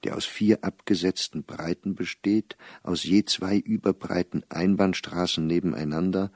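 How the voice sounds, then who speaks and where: An actor playing an embittered, middle-aged scholar reading his diary in a measured, dry, articulate radio drama delivery. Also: sits low, fairly even intonation, darkish tone, dry recording. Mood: observant.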